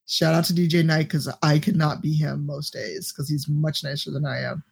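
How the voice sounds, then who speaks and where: A woman with a deep voice, a podcast guest and streamer giving blunt, profane advice in casual chat. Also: little pitch movement, moderate pace, creaky, slightly rough.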